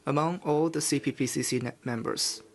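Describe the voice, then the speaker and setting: A man works as a live interpreter at a formal press conference. He delivers official statements in measured, halting chunks at a medium pitch. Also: fairly even intonation.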